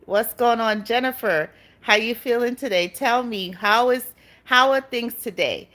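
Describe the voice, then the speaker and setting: A woman's voice: fairly high pitch, unhurried, a bit bright. A chatty middle-aged woman from Barbados hosts a casual live stream. She talks straight to her viewers, greets them by name and breaks into easy laughs. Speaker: YouTube channel host.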